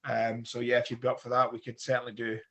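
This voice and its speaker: A man hosting a podcast, slightly croaky from a cold, talking hesitantly with many pauses. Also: medium pitch, completely flat delivery, fairly bright tone. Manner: hesitant, many ums and restarts. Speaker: podcast host. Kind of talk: casual conversation.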